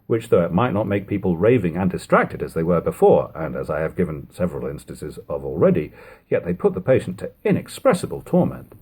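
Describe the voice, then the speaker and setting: A man reading an old literary text aloud as an amateur audiobook narrator, with expressive, measured phrasing. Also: low-pitched, husky. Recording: lo-fi home recording.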